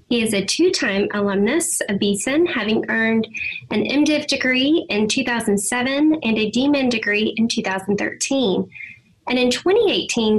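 A woman's voice: fairly high pitch, poor audio. A woman co-hosting a podcast who introduces the guest and asks him questions in a friendly way, with some hesitant fillers. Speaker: podcast co-host. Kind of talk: interview questions.

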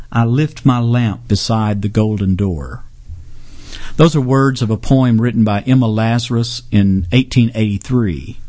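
Mellow-voiced male podcast host, dry sound. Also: mid-range voice, dry recording. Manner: measured, formal delivery. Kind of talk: hosting an interview, with a read poem opening.

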